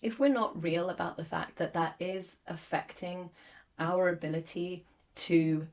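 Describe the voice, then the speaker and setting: A woman in her forties hosting a podcast, hoarse as her lost voice comes back, talking candidly and reflectively with many fillers. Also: on the low side, fairly even intonation, measured pace, darkish tone. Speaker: podcast host. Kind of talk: solo podcast monologue.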